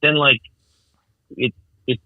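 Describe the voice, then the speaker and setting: A man's voice: mid-range voice, fairly even intonation, takes it slowly, dry recording, narrow, tinny audio. A man who organizes races, chatting casually on a call with many restarts and fillers. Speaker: snowmobile race organizer. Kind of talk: casual phone conversation.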